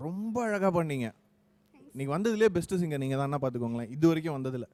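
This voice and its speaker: Man, fairly high pitch, dry close sound, encouraging judge. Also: fast talker, warm tone, dry recording. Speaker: singing audition judge. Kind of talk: encouraging feedback.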